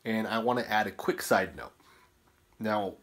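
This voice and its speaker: Low husky man, selfie kitchen vlog. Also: a little slow, fairly bright tone. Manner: chatty, explanatory, tags lines with "right?" and "okay?". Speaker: personal trainer. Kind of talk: casual cooking and nutrition vlog. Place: small home kitchen indoors. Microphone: phone camera held at arm's length.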